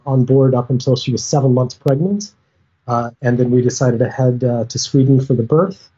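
A relaxed young man from the United States, talking over a remote call as a guest with easy hesitations. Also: mid-range voice, muffled recording. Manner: relaxed, easygoing, some hesitations. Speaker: guest, sailor. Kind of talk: casual interview chat. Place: at home.

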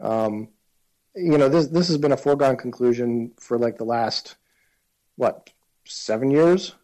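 A man co-hosting a political discussion, speaking casually with a wry, halting delivery. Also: mid-range voice, mellow, low-quality recording. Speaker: political podcast co-host.

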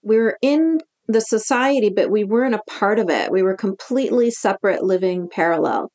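A woman's voice: medium pitch, lively intonation, darkish tone. Canadian-accented woman guest, emphatic storyteller. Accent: Canadian English. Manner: emphatic, fast-flowing storytelling. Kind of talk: conversational interview.

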